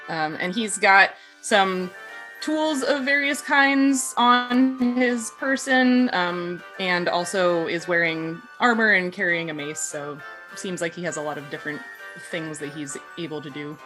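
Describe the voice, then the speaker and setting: A woman's voice: lowish voice, unhurried, sharp tone, poor audio. A woman playing a tabletop game, casually describing things in an unscripted way. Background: background music.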